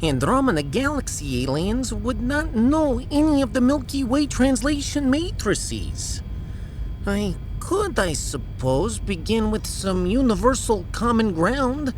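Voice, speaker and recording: A woman's voice: on the high side, big pitch swings, measured pace, a little mellow, a little hiss or hum. A woman playing a pragmatic, sardonic starship science officer in a sci-fi audio drama, with a wry, analytical manner. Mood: thoughtful.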